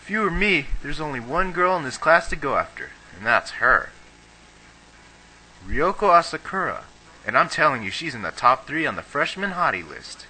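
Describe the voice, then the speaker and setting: A man's voice: on the high side, very animated, moderate pace, pushed and bright, slight background noise, full character voice, low-quality recording. A young man playing a cocky, gossipy, girl-crazy schoolboy in an amateur anime dub, talking in emphatic slang. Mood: advising.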